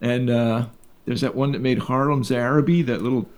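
A man's voice: mid-range voice, steady tone, moderate pace, darkish tone. A man, a musician guest on a radio show, chatting casually about old records.

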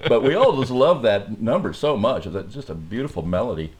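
A man's voice: medium pitch, expressive, brisk, a little mellow. An older man, a musician and guest, reminiscing in casual conversation.

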